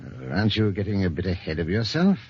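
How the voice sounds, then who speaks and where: An actor playing a shrewd, unflappable police inspector, questioning with dry authority in old radio detective style. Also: male voice, deep voice, sing-song, fast talker, a little mellow, husky, heavily acted. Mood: probing. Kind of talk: old-time radio detective drama acting. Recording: dull lo-fi vintage radio recording.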